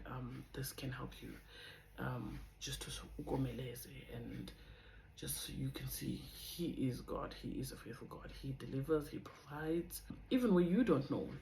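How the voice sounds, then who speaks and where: A young woman with a South African accent and a deep voice, chatting in a rambling, casual way as she shares a personal testimony on her vlog. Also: vocal fry, echoey room, a little hiss or hum, low-quality recording. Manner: chatty, rambling, drifts off topic. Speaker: vlogger.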